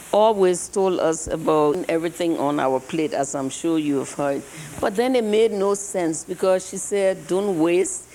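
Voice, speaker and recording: An older woman from Liberia with a Liberian accent, hoarse from travel, giving a reflective public lecture into a podium mic. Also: lowish voice, dark tone, poor audio. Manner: slow, reflective storytelling with pauses. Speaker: guest speaker. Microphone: handheld or podium mic.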